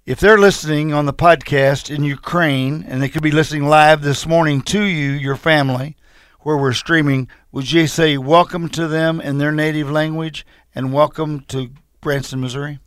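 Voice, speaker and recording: A man's voice: on the high side, steady tone, normal speed, fairly bright tone, clean tone, no room sound, clean studio sound. A man hosting a radio morning show, joking and chatting with his guests. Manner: jokey, teasing, talkative. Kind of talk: casual radio chat. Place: radio studio.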